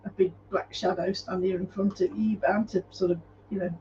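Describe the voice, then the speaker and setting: A man with a high voice chats informally as co-host of a live stream. The sound is a little muffled, and there is faint noise in the recording. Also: flat delivery, fairly quick, mellow. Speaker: co-host of a paranormal live chat. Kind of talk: casual chat.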